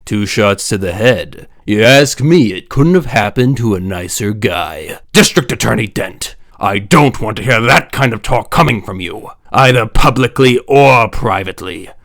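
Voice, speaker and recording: Man playing low, gravelly, grim crime fighter. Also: expressive, measured pace, few pauses, a bit bright. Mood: terse, hard-boiled. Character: several grim crime-fighting men: police captain, district attorney, vigilante. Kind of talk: comic book dub acting.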